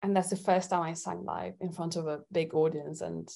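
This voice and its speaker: A woman with a lowish, gravelly fry in her voice, talking casually as an interview guest. She is thoughtful and giggly as she works out her answers. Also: steady tone, normal speed, warm tone. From Italy. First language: Italian. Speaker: singer-songwriter, interview guest. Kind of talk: casual chat.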